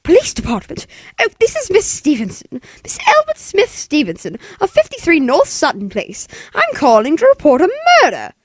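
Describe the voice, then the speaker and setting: Guy doing imperious frantic rich lady from 40s radio play, really high voice, swoops all over, dry sound. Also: wildly swooping pitch, moderate pace, no room sound, heavily acted. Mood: anxious urgent. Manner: imperious, exasperated, breathless urgency. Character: demanding, hysterical wealthy invalid woman on telephone. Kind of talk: 1940s radio play acting.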